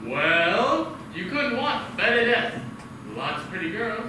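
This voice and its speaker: A man's voice: sits high, very animated, unhurried. An actor playing a cheerful young man with a breezy, upbeat manner. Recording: echoey room, far from mic, noisy.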